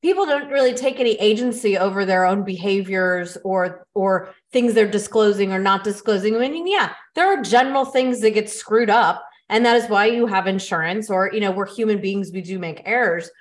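A woman's voice: mid-range voice, normal speed, few pauses. An American woman chatting casually as a podcast co-host, blunt and opinionated in how she talks. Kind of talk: casual chat.

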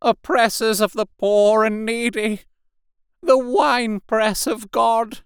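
A man's voice: high voice, fairly even intonation, slow pace, smooth, no room sound, clean studio sound, pitched above the performer's own voice. A man voicing a half-mad curate in an audiobook, ranting like a doomsday preacher with fervent, wailing declamation. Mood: anguished penitent fervour. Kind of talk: dramatic audiobook character voice.

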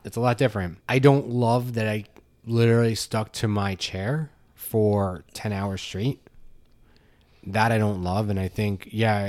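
A man's voice: fairly low pitch, darkish tone, no echo. A man co-hosting a podcast in casual chat, talking in a matter-of-fact way and thinking aloud. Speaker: podcast co-host.

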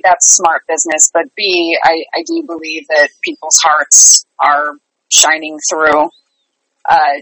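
Lower-pitched middle-aged woman, earnest and emphatic. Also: a bit bright, no room sound, rough recording. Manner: earnest, emphatic repetition of phrases. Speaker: interview guest, life and business coach. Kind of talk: conversational interview answers.

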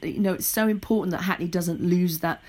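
A middle-aged British woman talking casually and in an animated way as an interview guest, a theatre writer and director. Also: lowish voice, brisk.

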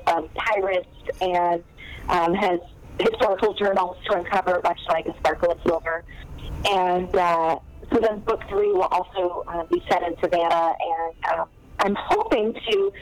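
A woman's voice: mid-range voice, poor audio. A woman novelist chatting in an interview, talking loosely with many fillers and restarts. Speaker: novelist guest. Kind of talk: casual interview chat.

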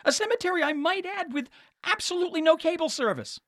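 An exasperated, sarcastic son on a customer service call. His pitch swings widely as he argues his case. It is comic audio drama with a very dry, studio-close sound. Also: lively intonation, fast talker, a bit bright, no echo. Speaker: caller. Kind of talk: comedic audio drama acting.

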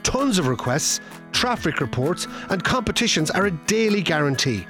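An actor playing a wry, exasperated radio-station insider narrating a comedy play in an arch tone, with an Irish accent. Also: male voice, high-pitched, sing-song, moderate pace, fairly bright tone, faint music behind.

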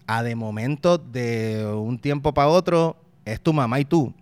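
Puerto Rican man in his thirties, interview guest; resonant, smooth voice, reflective, trips over words as he thinks. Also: mid-range voice, unhurried, a little mellow, no room sound. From Puerto Rico. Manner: reflective, frequent restarts and repeated words; uses local slang. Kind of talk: casual conversational interview.

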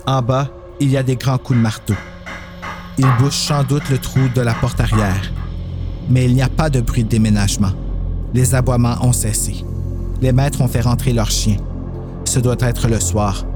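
A man narrating a dramatized horror story as host and storyteller. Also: mid-range voice.

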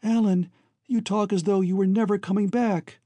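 A man's voice: high-pitched, fairly even intonation, normal speed, dark tone, no room sound, voice pushed up. A man voicing an anxious, devoted young woman with a pleading, eager manner in an audiobook. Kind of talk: dramatized audiobook dialogue.

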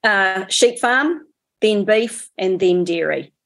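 A woman's voice: mid-range voice, expressive, takes it slowly, vocal fry, a bit bright. A New woman, a farmer, answering interview questions in a plain, matter-of-fact way. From New Zealand. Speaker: guest, farmer.

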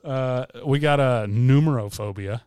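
A soft-spoken man co-hosting a casual podcast chat, laid-back with dry humor. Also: medium pitch, measured pace, clean tone, no echo. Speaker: podcast co-host. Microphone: studio mic.

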